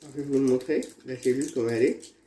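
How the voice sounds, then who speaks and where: A man vlogging at home while he has a cold, showing his remedies in a halting, rambling way that repeats itself. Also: medium pitch, steady tone, dark tone. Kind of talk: casual home how-to vlog. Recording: echoey room with faint background noise.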